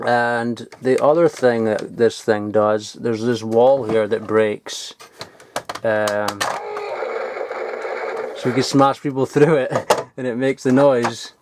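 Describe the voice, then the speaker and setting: A man's voice: medium pitch, fairly even intonation, slow pace, noisy background. A young man giving a casual, sweary, enthusiastic show-and-tell in an informal vlogging style. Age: young adult. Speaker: vlogger showing old toys. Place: loft at home, indoors.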